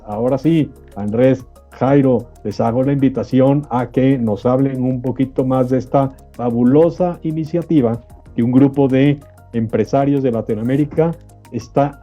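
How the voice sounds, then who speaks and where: Male panel host, rhetorical questioner, business talk, faint music behind, lo-fi sound. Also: medium pitch. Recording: dull lo-fi audio, music behind.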